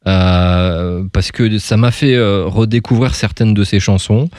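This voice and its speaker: A man interviewing on radio in an informal, halting, chatty way. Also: fairly low pitch, fairly even intonation, a little mellow, no echo, studio quality. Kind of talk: casual interview chat.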